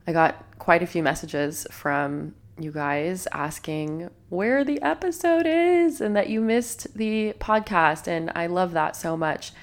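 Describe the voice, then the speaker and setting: A young woman hosting a solo self-help podcast, slightly nasal and sniffly from a cold, speaking in an earnest, reflective way. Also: sits low. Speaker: podcast host.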